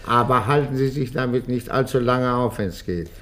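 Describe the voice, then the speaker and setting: An actor playing a dismissive, self-assured police inspector in a German radio crime drama, speaking with condescending authority. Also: male voice, mid-range voice, moderate pace, fairly bright tone, lightly acted. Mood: dismissive, authoritative.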